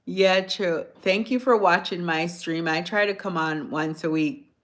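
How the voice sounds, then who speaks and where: A woman from Jamaica chatting on a phone livestream, answering viewers' comments in a soft-spoken, sometimes hoarse voice. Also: lowish voice, a little slow. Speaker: livestream host. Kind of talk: casual livestream chat with viewers.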